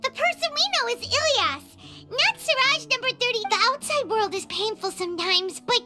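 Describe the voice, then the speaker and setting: A woman voicing a tiny, childlike fairy companion in a video game, squeaky, excitable and quick to indignant exclamations. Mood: pleading. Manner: indignant exclaiming, speaks of self in third person. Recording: loud music, long reverb.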